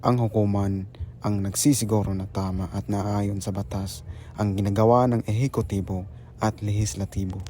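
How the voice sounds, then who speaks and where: A man's voice: fairly low pitch, fairly even intonation, moderate pace, mellow. A man with a Filipino accent reading scripted explanatory narration. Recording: faint background noise.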